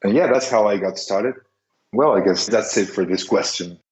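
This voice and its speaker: A young man from Mexico speaking English with a Mexican Spanish accent as a podcast guest, careful and halting, recorded on a dull, echoey line. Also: fairly low pitch, a little mellow. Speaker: guest, business seller.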